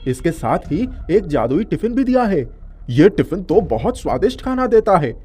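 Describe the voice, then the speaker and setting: A performer voicing an earnest, kind-hearted young male college student in a moral story cartoon, polite and expressive. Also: mid-range voice, normal speed, husky, soft background music. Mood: delighted.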